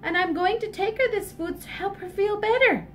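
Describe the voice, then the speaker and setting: A woman voicing a sweet, eager little girl in a storybook tale, with a high, chirpy childlike voice. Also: moderate pace, a bit bright. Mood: polite.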